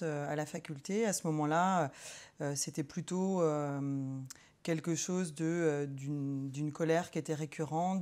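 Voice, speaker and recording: A woman psychologist reflects in a personal interview, her voice mellow and a little low. She speaks hesitantly, filling her many pauses and restarts as she looks for the right words.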